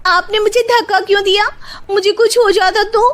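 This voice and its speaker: A woman's voice: very high voice, fairly even intonation, normal speed, a bit bright. A woman voicing a timid young daughter-in-law in a cartoon, with a squealing, whiny way of talking. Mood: indignant.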